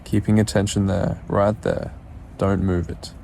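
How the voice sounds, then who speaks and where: A man leading a guided meditation in a soothing, unhurried way, leaving pauses between short instructions. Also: low-pitched, level tone, creaky, mellow, slight background noise.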